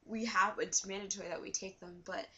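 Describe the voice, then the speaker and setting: A woman's voice: medium pitch, fairly even intonation, fairly quick, sharp tone, a little hiss or hum. Hesitant young woman asking questions. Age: young adult. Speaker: student interviewer. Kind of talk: class interview.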